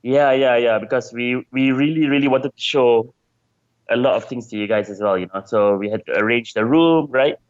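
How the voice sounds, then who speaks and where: A man's voice: mid-range voice, moderate pace, smooth. Dull-sounding male game designer in interview. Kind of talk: casual interview talk.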